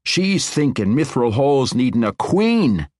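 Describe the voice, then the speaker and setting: A man's voice: mid-range voice, big pitch swings, measured pace, darkish tone, no room sound, studio quality, pitched above the performer's own voice. A man voicing a young male dwarf king who speaks earnestly and loyally in a dwarven brogue.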